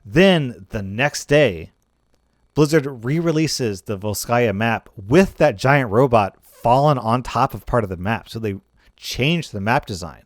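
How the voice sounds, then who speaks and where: A man's voice: medium pitch, lively intonation, a little slow, no room sound, clean studio sound. A man giving casual, speculative commentary on a video game, thinking aloud in a conversational way through hypothetical scenarios. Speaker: gaming commentator.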